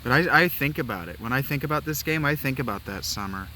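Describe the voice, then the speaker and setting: Casual let's-play host, a man from Ohio, rambling and nostalgic, bright, forceful voice. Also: medium pitch, lively intonation, quick pace, slight background noise, rough recording. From Ohio, USA. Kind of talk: casual gaming commentary.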